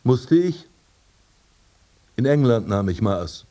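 An actor playing a haughty, vain aristocratic prince in a radio play, speaking in a pompous, formal manner. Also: male voice, medium pitch, very animated, slow pace, dark tone. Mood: dismissive. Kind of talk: German radio play acting.

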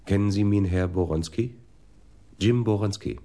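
An actor playing a laconic, dry-humoured police detective with a terse, businesslike delivery in a radio play. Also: male voice, fairly low pitch, moderate pace, warm tone, lightly acted. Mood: official, blunt.

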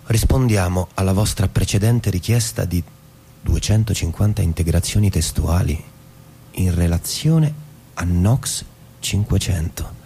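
An actor playing a dry, deliberate narrator and office husband in a literary stage drama, reciting in a theatrical way. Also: male voice, sits low, mellow, slight background noise. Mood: flat, methodical. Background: music.

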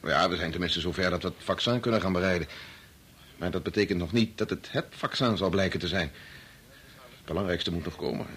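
A man's voice: sits low, fairly quick, fairly bright tone. A Dutch actor from the Netherlands playing an earnest, worn-out doctor and young father in a radio play, stammering when pressed. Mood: weary, earnest.

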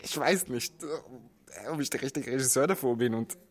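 Casting: actor as a polite, ironic male director from Israel, mother tongue, medium pitch, understated radio-play delivery. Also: moderate pace, natural voice. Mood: sceptical. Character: polite, dryly ironic immigrant theatre director, man. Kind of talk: radio drama dialogue and narration.

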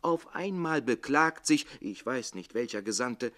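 An actor playing a jealous, witty, defiant servant in a theatrical radio play, with declamatory delivery that moves between hushed and forceful. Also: male voice, mid-range voice, animated, unhurried. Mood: indignant, sardonic.

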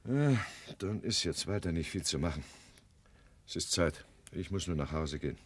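An impetuous gentleman lover in a radio play, deep-ish voice swinging up and down, dry sound. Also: lively intonation, normal speed, no room sound. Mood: wounded, subdued. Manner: emphatic, blustering, scornful. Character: impetuous, possessive gentleman in love, blustering. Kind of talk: German radio play acting.

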